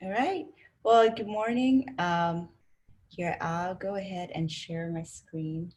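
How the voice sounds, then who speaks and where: A woman from Rwanda presents academic research over a video call. Her voice sits on the low side. She speaks in a measured way and pauses often on fillers. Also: darkish tone. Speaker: presenter, design instructor.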